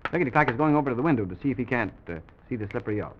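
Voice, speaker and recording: Male children's storyteller: brisk pace, medium pitch, gentle simple phrasing, dull and slightly hissy vintage sound. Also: expressive. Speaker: storyteller and host of children's show. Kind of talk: narrating a children's make-believe story. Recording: dull, noisy vintage broadcast recording.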